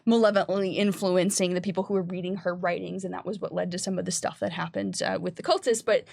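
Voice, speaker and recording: A woman's voice: fairly low pitch, fairly even intonation, quick pace, creaky, a little mellow, dry recording, clean studio sound. A woman who works as a game designer, hosting a casual panel discussion and explaining her ideas in a conversational way.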